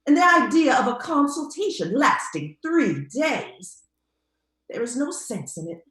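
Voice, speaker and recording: Woman playing matronly sister, indignant, anxious, very animated, old-time radio drama, roomy acoustic. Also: fairly high pitch.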